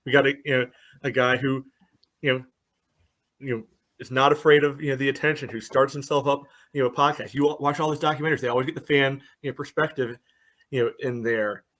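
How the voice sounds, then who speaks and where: A man's voice: mid-range voice, steady tone, fast talker, a bit bright. Casual man talking soccer, heavy on "you know". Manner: says "you know" constantly as filler. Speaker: podcast co-host and soccer fan pundit. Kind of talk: casual sports podcast banter and analysis.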